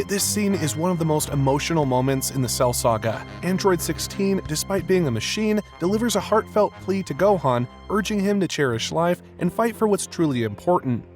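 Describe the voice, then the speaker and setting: A man reading a scripted video review as its narrator. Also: on the high side. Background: music.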